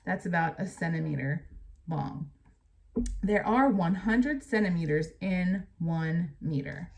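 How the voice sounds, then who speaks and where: An American woman with a slightly low voice reads aloud as a teacher. She explains things plainly and speaks directly to young pupils, moving between the text and her own asides. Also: unhurried, creaky, darkish tone.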